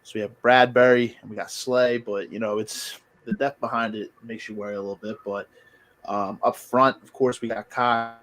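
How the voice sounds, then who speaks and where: A man's voice: lowish voice, normal speed, poor audio. A man hosting a podcast, chatting casually about football with his guests as friends. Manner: relaxed, talks to the guests as friends. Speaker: podcast host. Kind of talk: casual sports chat.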